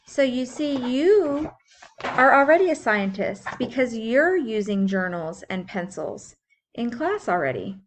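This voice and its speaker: A woman's voice: fairly high pitch, sing-song, a little slow, background noise. A woman teacher reading a science book aloud for young children, explaining things simply and putting questions to her listeners. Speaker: school teacher.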